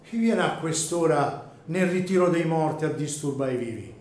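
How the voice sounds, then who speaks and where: A man playing a gruff, grudging older cemetery caretaker in a radio drama, with rustic, colloquial speech. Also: fairly high pitch, expressive, moderate pace, a little mellow, a little hiss or hum, natural voice. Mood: indignant. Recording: echoey room, music behind.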